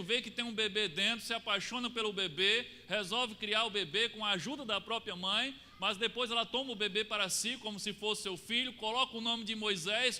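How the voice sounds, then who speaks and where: Bright Brazilian preacher in a reverberant hall. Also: male voice. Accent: Brazilian Portuguese. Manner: rises and falls, drops low to stress points. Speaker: pastor. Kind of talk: sermon. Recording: long reverb of a church hall.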